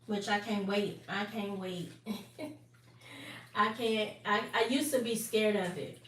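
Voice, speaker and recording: A woman's voice: mid-range voice, fairly even intonation, slow pace, fairly bright tone. A woman in her forties chatting casually with her viewers on a live stream, talking straight to them and laughing often. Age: 40s. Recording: echoey room, mic at a distance, faint noise.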